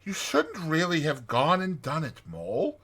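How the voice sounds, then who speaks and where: Man voicing a hearty, bright, lively storybook rat. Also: a little high, measured pace, breathy edge, voice pushed up, exaggerated voice. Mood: soothing, explanatory. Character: hearty, good-natured, capable male water rat. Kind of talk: dramatized children's storybook reading.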